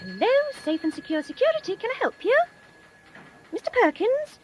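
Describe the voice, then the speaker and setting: A woman playing a brisk, sing-song switchboard receptionist in a comic radio play. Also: extremely high pitch, wildly swooping pitch, noisy background, full character voice. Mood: businesslike.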